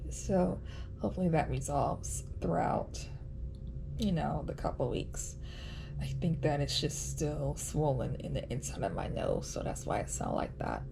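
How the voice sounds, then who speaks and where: Woman vlogging, kinda nasal, talks slow w lots of ums, noisy background. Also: low-pitched, gravelly. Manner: chatty, halting, with many ums and uhs. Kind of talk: casual vlog update.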